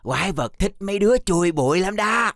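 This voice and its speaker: A man's voice: sits high, lively intonation, a little fast, creaky, over music. A man playing a teasing, mocking big-brother schoolboy creature in a children's cartoon. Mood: mischievous. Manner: mischievous, mocking.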